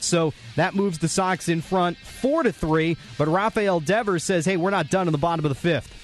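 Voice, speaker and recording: A man with an American accent and a high-pitched voice narrates a sports recap. He moves through the game's highlights as a radio host.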